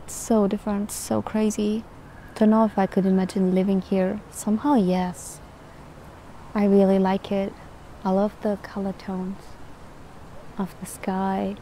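A young woman from Austria, chatting casually to the camera as a vlogger, relaxed and talkative. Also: medium pitch, a little slow, pauses often, a little hiss or hum, low-quality recording. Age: young adult.

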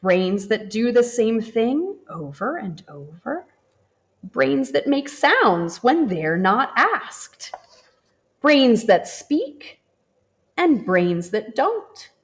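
A woman reads a children's picture book aloud at an unhurried pace, the way a teacher would to a class. Her pitch moves widely and expressively, rising and falling to keep young listeners engaged. The delivery stays gentle and warm. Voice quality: expressive and animated, slow and gentle. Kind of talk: children's storybook read-aloud. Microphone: camera over the book, hands turning pages.

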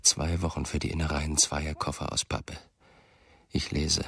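A man's voice: extremely low pitch, level tone, unhurried, creaky, sharp tone, slightly rough, dry recording, studio quality, full character voice. An actor playing a brooding, self-doubting male writer and storyteller in a German radio play.

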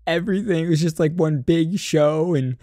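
A young man giving casual, animated, rambling reaction commentary as a streamer, close on the microphone. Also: fairly high pitch, moderate pace, dry recording. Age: young adult. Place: small room at home, indoors. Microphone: close boom-arm microphone.

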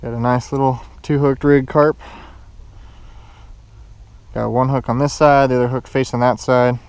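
A man's voice: medium pitch, flat delivery, normal speed, darkish tone, smooth, no echo. An American man casually narrating his solo fishing outing as a vlogger, recorded outdoors on an action camera. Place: outdoors on a lake shore. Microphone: head-mounted action camera.

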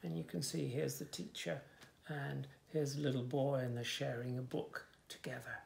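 A man in his fifties reading a picture book aloud to young children, with expressive, sing-song delivery and soft asides. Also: mid-range voice, moderate pace, gravelly. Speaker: children's story reader, host. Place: small furnished room indoors, bookshelves.

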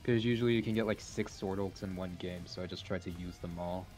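A man's voice: low-pitched, fairly even intonation, a little fast, darkish tone, soft background music. A young man bantering casually and jokingly while commenting on a game, using plenty of slang. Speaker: esports scrim commentator.

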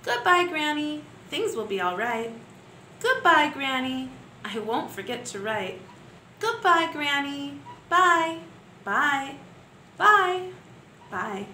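A young woman teacher reading poems aloud to young pupils in an expressive sing-song way, with gentle asides between poems, filmed at home on a close webcam. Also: high voice, takes it slowly, long pauses, a little hiss or hum. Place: living room at home. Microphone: webcam at close range. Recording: echoey room with faint ambience.

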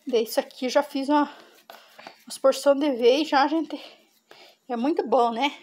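Chatty high-voiced woman cooking at home. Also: steady tone, moderate pace, darkish tone. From Brazil. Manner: chatty, keeps saying "gente" to viewers. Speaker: cooking vlogger. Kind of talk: casual cooking demonstration. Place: home kitchen on a farm.

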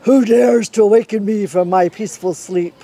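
Woman acting male bear, storybook creature, angry, loud, indignant, roused from sleep. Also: medium pitch, moderate pace, close to an everyday voice. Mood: outraged.

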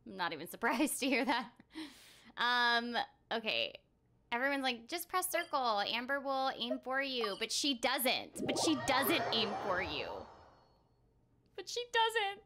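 A young woman chatting casually as she streams a game, giggly and quick to exclaim, on a close microphone indoors. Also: a little high, sharp tone. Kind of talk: casual chat over live gameplay. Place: indoor room at home. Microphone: close desk microphone with webcam. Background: game music.

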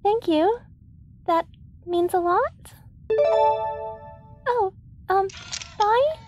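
Woman as a very high-pitched shy alien girl. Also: expressive, very slow, a little mellow, soft background music, exaggerated voice. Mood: shy, nervous. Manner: timid, hesitant, apologetic. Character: shy, overwhelmed young alien farm girl. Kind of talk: audio roleplay acting.

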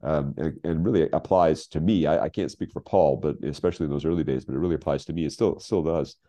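Very deep, mellow older man. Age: 60s. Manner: halting, repeats phrases for emphasis. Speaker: guest, writer. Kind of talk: reflective interview conversation. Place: home room with bookshelves, on a video call. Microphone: video call.

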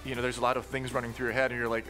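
A Czech-accented man in a player profile video, speaking quickly over light music. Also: mid-range voice, level tone, fairly bright tone. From Czech Republic. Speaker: professional card game player. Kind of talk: casual interview monologue. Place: dark interview studio.

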